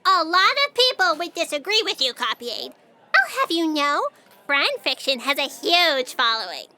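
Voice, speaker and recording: A vain, flirtatious lady writer in a sci-fi audio comedy. Her voice is high and sharp, sweeping up and down theatrically as she plays the drama to the hilt. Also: normal speed, full character voice. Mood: haughty. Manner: theatrical, accusing, easily flattered.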